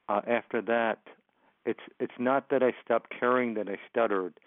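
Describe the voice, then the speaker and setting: A man's voice: fairly low pitch, no room sound. A man with a stutter, a motivational speaker answering interview questions, often repeating words and sounds. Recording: phone line, dry.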